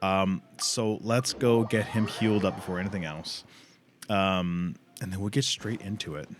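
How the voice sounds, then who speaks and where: A man's voice: deep voice, steady tone, normal speed, music in the background. A man chatting casually as a livestream host and gamer, rambling in loose slang with frequent swearing.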